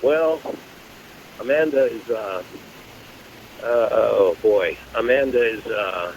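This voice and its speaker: A man's voice: lowish voice, slow, gravelly, slight background noise. A man on a casual phone call, telling his story in a dry, exasperated way. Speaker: phone-in guest. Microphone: telephone.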